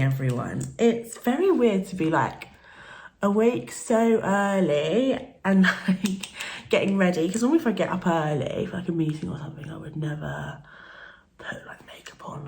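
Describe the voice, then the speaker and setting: A woman from the UK in her thirties, a beauty vlogger chatting casually while doing her makeup at home, her voice croaky from the early morning, rambling with soft laughs and plenty of fillers. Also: medium pitch. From United Kingdom. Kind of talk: casual get-ready-with-me chat.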